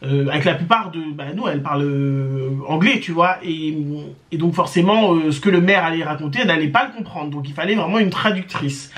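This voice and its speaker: A woman's voice: deep voice, fairly quick. Woman, casual storyteller to camera; hesitant, filler-heavy, conversational. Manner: hesitant, many fillers and restarts; chatty, addresses viewers directly. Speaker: storytime vlogger.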